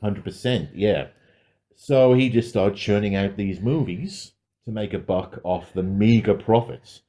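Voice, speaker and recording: Low-pitched mellow man, casual podcast co-host. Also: unhurried. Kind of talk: casual film discussion, sweary.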